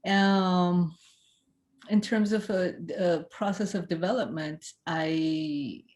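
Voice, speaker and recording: A film director who grew up in Ethiopia, speaking in a recorded festival Q&A, thoughtful and hesitant with many fillers and restarts. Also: female voice, lowish voice, slow pace, darkish tone.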